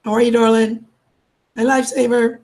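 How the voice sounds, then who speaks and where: A woman, losing her voice from a cold, chatting warmly with viewers on a live stream and calling them darling and sweetheart. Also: fairly high pitch, flat delivery, normal speed. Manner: affectionate, calls everyone darling and sweetheart. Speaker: livestream host. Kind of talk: casual live chat greeting viewers.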